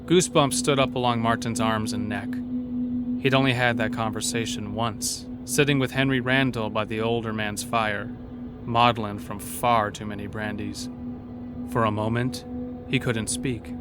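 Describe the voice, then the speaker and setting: A man's voice: fairly low pitch, fairly even intonation, moderate pace, over loud music. A man narrating a scripted horror story as the narrator of an audio drama.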